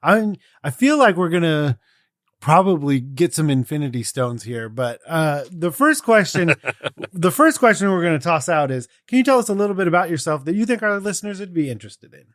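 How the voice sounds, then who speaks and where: A man's voice: a little high, big pitch swings, a little fast, no room sound, studio quality. A man co-hosting a podcast in a casual, chatty style. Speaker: podcast co-host.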